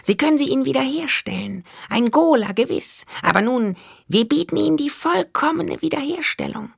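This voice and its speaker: A man voicing a scheming male dwarf in an audiobook, with a squeaky, gravelly falsetto and a sly, wheedling manner. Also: animated, moderate pace, dry recording, studio quality, heavily acted. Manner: wheedling, unruffled.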